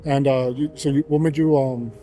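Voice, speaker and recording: A man from Arizona with a mellow, warm tone asks casual interview questions. He often stops partway through and rephrases as he goes. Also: medium pitch, steady tone, faint music behind. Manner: halting, restarts his questions.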